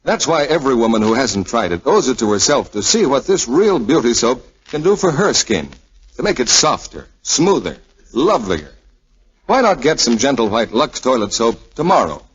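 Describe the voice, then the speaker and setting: An American male announcer making a 1940s radio sales pitch. His mid-range voice is husky and highly animated, on a dull vintage recording. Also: normal speed. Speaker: commercial announcer. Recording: muffled old radio recording.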